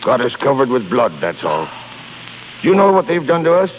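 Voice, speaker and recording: An actor playing a gruff, stubborn old shipping boss with salty nautical talk, in 1930s radio drama style. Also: male voice, medium pitch, sing-song, brisk, lightly acted. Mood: exasperated, indignant. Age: older. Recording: tinny, noisy 1930s radio recording.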